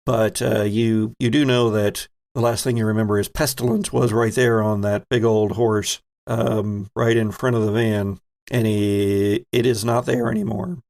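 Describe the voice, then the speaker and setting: A man's voice: lowish voice, steady tone, normal speed, vocal fry, darkish tone. A man narrating a tabletop game as game master in a casual, improvised and playfully teasing way.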